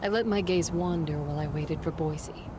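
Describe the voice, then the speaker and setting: Woman acting a low-voiced, stoic vampire warrior, noisy backdrop. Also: moderate pace, natural voice. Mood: grave, resolute. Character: stoic, commanding female vampire warrior, grieving but controlled. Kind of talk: first-person audio drama narration and dialogue.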